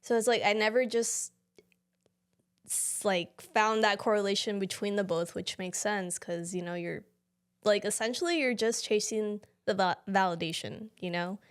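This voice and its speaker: A woman's voice: medium pitch, normal speed, clean tone. Reflective young woman podcast co-host. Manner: reflective, many fillers like "you know" and "like". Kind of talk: casual reflective chat.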